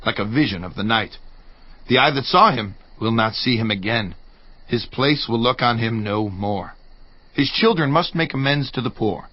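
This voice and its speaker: An actor playing a troubled, indignant friend of Job who sternly warns of the fate of the wicked, declaiming in a dramatized audio Bible. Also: male voice, mid-range voice, breathy edge, dry recording, muffled recording. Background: faint music.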